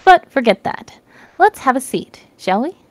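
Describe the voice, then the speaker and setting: A woman's voice: high-pitched, sing-song, measured pace, no room sound, pitched above the performer's own voice. A woman voicing a smug, theatrical man hosting a mystery party in a story. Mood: showy.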